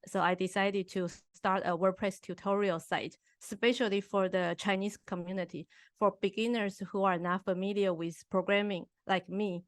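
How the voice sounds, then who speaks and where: A woman from Taiwan, a native Mandarin speaker, answering a podcast interviewer in careful English with frequent pauses and restarts. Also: medium pitch, steady tone, no echo. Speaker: podcast guest. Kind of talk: casual interview.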